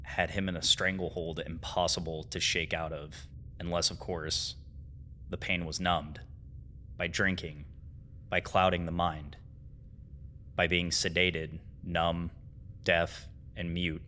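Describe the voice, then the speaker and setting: A man's voice: low-pitched, little pitch movement, slow, pauses often, pushed and bright, slight background noise. A man delivers scripted video-essay narration in an earnest, dramatic way with informal asides. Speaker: host of a horror series analysis video.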